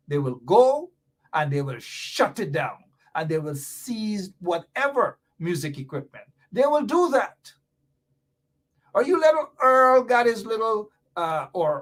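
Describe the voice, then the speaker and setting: A man's voice: high-pitched, a bit bright. A Guyanese man hosting a radio talk show, delivering fiery, sarcastic political commentary with mocking repetition.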